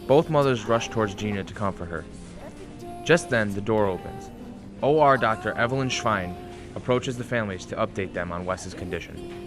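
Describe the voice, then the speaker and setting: A man's voice: lowish voice, lively intonation, normal speed. Man narrating a radio drama over music.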